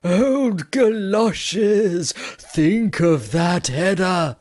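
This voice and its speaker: An actor playing an earnest, excitable male suitor in a comic parody play, fussy, exclamatory and melodramatic. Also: mid-range voice, drawn-out words, no echo. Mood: excited. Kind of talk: comic stage-play acting.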